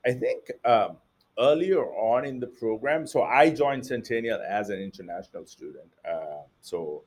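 A man's voice: medium pitch, expressive, normal speed, husky. A man from Sri Lanka, an entrepreneur guest, answering interview questions casually with many fillers and restarts.

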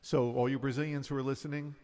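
An older man, a musician, telling his story in a relaxed, rambling way with many fillers and restarts. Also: mid-range voice, fast talker. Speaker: musician guest. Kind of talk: casual storytelling interview.

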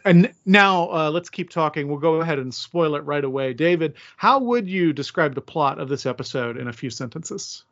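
A man with a somewhat high voice hosts a podcast conversationally. He states his opinions with emphasis. The sound is dry and close, with a slightly dull, lo-fi quality. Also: animated, normal speed, hardly any pauses, fairly bright tone, dry recording. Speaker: podcast host.

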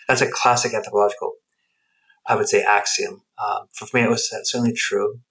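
A man's voice: lowish voice, flat delivery, brisk, a bit bright, rough recording. A middle-aged man telling personal stories as an interview guest, reflective and emphatic, often rephrasing himself. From United States and Guatemala. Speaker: interview guest, anthropologist and researcher.

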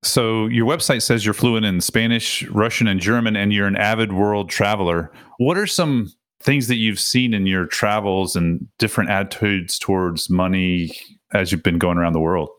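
Deep-voiced interviewer asking questions. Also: normal speed.

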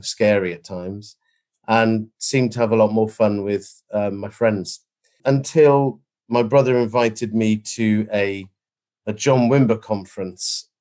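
Low-voiced man with a slight Welsh accent. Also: a little mellow. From Wales. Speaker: guest, former pastor. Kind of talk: casual storytelling.

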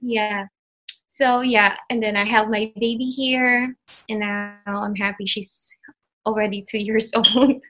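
Slow, bright-voiced Filipino woman. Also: medium pitch, steady tone, low-quality recording. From Philippines. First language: Tagalog. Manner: hesitant, many fillers and restarts, laughs often. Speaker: graduate of an online program. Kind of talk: casual interview.

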